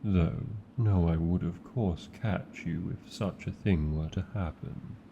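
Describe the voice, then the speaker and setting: A man with a British accent voicing a tender, protective male dragon in a fantasy ASMR roleplay, speaking in a slow, formal and doting way full of endearments. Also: sits low, muffled recording, background noise. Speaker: voice actor.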